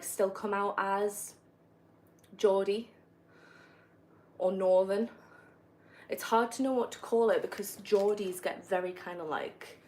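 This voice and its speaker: A young woman from northeast England with a soft northeastern accent, chatting casually on a livestream while gaming, rambling with many fillers and self-corrections. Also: fairly low pitch. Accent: northeast English, softened. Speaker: livestreamer answering viewer questions. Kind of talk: casual live-stream chat while gaming.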